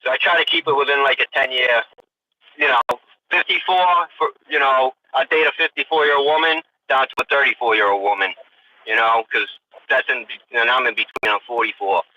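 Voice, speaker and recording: A man's voice: mid-range voice, fairly quick, sharp tone. An American man in his forties chatting casually on a livestream with emphatic, repetitive opinions and some swearing. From United States. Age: 40s. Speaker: livestream host. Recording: tinny radio-like sound, distant mic, faint noise.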